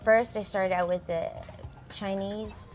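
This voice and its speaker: A woman's voice: mid-range voice, fairly even intonation, a little slow, soft background music. A young woman from Southern California, chatting casually as a guest singer and saying "like" and "um" often. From Southern California, USA. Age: young adult. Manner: chatty and friendly, many "um" and "like". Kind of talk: casual interview answers.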